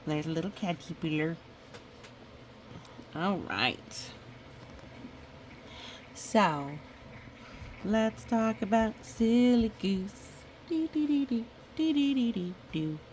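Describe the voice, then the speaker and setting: A woman reading a picture book aloud in a chatty, expressive way, with casual asides. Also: medium pitch, takes it slowly, pauses often, a little hiss or hum. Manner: chatty, expressive storytelling with playful sound effects. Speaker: storybook reader.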